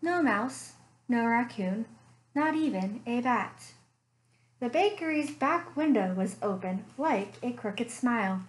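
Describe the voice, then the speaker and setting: A young woman reading a picture book aloud for children, slow and expressive with playful sound effects, recorded on a webcam in a small room at home. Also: fairly high pitch, poor audio. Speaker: children's librarian. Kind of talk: storytime read-aloud.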